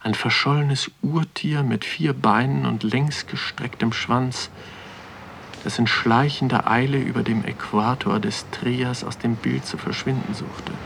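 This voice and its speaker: A contemplative man tells his story in a literary radio drama. His voice sits at an ordinary male pitch, and he delivers the poetic text with measured care. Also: fairly even intonation, vocal fry, fairly bright tone, slight background noise. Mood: observant, quiet. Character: pensive male first-person narrator strolling through a natural history museum.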